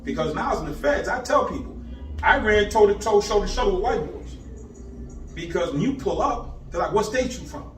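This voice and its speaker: A man in his fifties from Boston, telling his life story in animated street slang, rattling off places. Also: sits high, fairly quick, soft background music. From Boston, Massachusetts. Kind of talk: casual storytelling interview. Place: indoor room on location. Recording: echoey room, far mic, faint background hiss.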